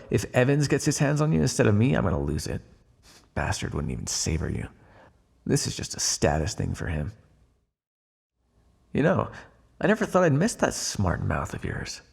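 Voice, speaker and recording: A man's voice: lowish voice, gravelly, slightly rough, exaggerated voice. A man playing a smug, teasing vampire in an ASMR roleplay, sardonic and predatory with a hidden fondness, drawling on key words. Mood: teasing, menacing. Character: smug, teasing male vampire, predatory yet secretly fond. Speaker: roleplay voice actor.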